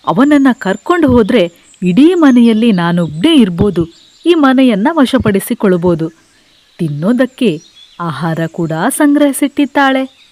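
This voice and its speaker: A woman's voice: on the high side, a little mellow, dry recording. A woman voicing a scheming male crow in a children's cartoon story, with a sly, wheedling, exaggerated delivery. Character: scheming male crow after the myna's house. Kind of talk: animated children's bedtime story.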